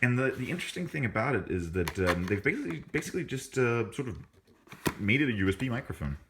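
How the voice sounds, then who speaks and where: A man with a very deep voice giving a casual unboxing review, talking in a halting way with many ums and restarts, in an indoor room. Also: very animated, noisy background. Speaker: tech reviewer.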